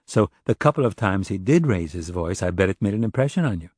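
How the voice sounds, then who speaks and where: A man voicing a composed female police sergeant in an audiobook, speaking in a measured, direct way. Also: low voice, animated, a little mellow, no room sound, clean studio sound. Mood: probing. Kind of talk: audiobook character dialogue.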